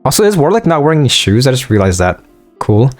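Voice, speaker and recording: A man hosting a casual let's-play, rambling with many fillers, his voice a bit higher at night. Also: big pitch swings, fast talker, faint music behind. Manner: rambling, filler-heavy, easygoing. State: voice higher than usual at night. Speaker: gaming channel host.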